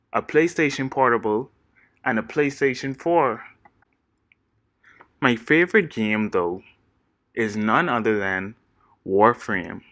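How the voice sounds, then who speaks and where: A man, giving a steady, earnest scripted presentation as a game designer pitching himself. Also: no echo, clean studio sound. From Bahamas. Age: 20s. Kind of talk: read-aloud scripted presentation.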